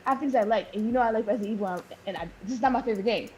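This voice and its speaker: A woman co-hosting a gaming podcast in casual chat, soft-spoken and rambling, talking over a wireless headset. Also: medium pitch, rapid-fire, slight background noise, rough recording. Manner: soft-spoken, rambling, animated when arguing. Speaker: podcast co-host. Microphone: wireless Bluetooth headset.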